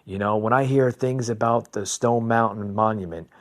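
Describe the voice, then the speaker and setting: A man with a fairly low voice hosts a podcast and rants about the news, calling his listeners "folks." He often stumbles into repeated words and restarts. He is from New Jersey. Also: fairly even intonation, normal speed. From New Jersey, USA. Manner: ranting, addresses listeners as folks; repetitive restarts, stammering repeats. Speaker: podcast host. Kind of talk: opinionated political commentary.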